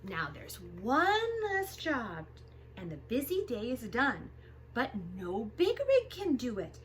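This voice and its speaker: A woman reading aloud to young children as a storytime host, expressive and sing-song, drawing out the sound words. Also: on the high side, takes it slowly, slight background noise. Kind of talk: animated picture-book read-aloud for young children.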